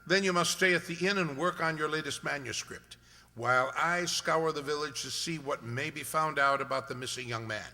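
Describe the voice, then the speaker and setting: A man playing a brisk, inquisitive gentleman detective in a radio mystery play, speaking precisely and formally. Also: fairly high pitch. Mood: brisk, genial. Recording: reverberant hall-like sound.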